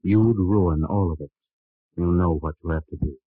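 A man's voice: sits low, normal speed, dry recording, close to an everyday voice. A man playing a curt, commanding character in an old radio drama, with clipped authority. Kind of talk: 1950s radio drama acting. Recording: muffled old radio recording.

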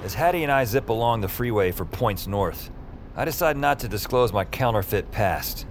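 A man's voice: on the low side, animated, normal speed, noisy background, lightly acted. An actor playing a wry, self-deprecating young man who narrates his strange adventures in a dry, conversational audio drama style. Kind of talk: first-person scripted audio drama narration.

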